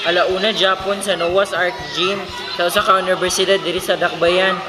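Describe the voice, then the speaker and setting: A man acting a flamboyant, gossipy young man in an amateur student radio drama, with a Filipino accent and drawn-out camp slang. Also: high-pitched, little pitch movement, roomy sound, over music, natural voice. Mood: chatty. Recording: very noisy crowd behind.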